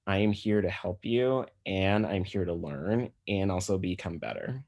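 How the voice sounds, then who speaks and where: Male physician presenter, American, low register, conversational explanatory lecture. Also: unhurried, darkish tone.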